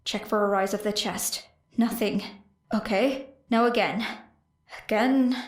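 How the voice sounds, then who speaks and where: A woman's voice: medium pitch, unhurried, lightly acted. A woman voicing a wounded, stubborn girl fighter in an audiobook, talking in an urgent, curt, snappish way. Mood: focused, brisk.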